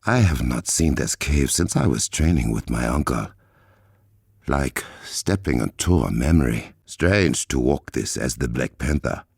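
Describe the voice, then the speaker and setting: A man playing a noble, resolute warrior king in a video game, with an African accent, a deep gravelly voice and formal, measured diction. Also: no echo, studio quality, full character voice.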